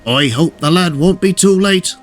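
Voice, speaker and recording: A man's voice: fairly high pitch, big pitch swings, normal speed, a bit bright, husky, faint music behind, voice pushed up, full character voice. A man voicing a friendly, reassuring male harbour character in a children's story. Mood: worried.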